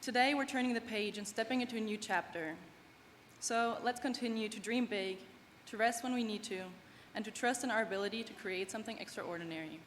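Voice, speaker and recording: A woman's voice: on the high side, a little fast. A young woman from Germany, speaking English as a second language, delivers an earnest, heartfelt graduation speech. Her voice rings with long reverb through a large hall, with faint background noise underneath. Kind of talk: prepared commencement speech.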